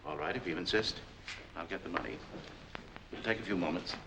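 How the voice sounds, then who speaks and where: An actor playing a formal, courteous bank official in a 1940s film, with a dry, measured manner. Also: male voice, deep voice, gravelly. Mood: brisk, formal. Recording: noisy 1940s film soundtrack.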